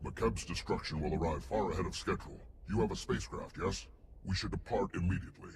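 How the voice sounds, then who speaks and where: A man's voice: sits low, fast, vocal fry, noisy background. An actor playing a vain, eccentric alien scientist in a video game, savoring his words in a grandiose, theatrical manner. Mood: brisk, urgent. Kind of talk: video game cutscene acting.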